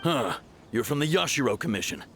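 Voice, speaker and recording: An actor playing a gruff, officious chief of guards in a video game, stern and curt. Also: male voice, mid-range voice, very animated, a little fast, background noise. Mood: stern, wary. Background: background music.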